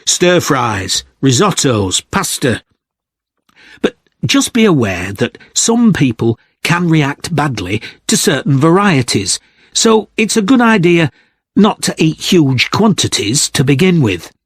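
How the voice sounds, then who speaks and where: A man playing a practical, encouraging guide who gives a clear, measured, scripted instructional talk. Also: fairly high pitch, big pitch swings, dry recording, clean studio sound, exaggerated voice. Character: practical, encouraging male guide on mushroom foraging. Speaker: speaker giving an informational talk.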